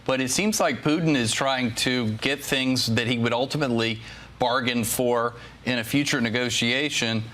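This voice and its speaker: American man, news guest analyst, talking off the cuff, medium pitch. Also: normal speed, fairly bright tone.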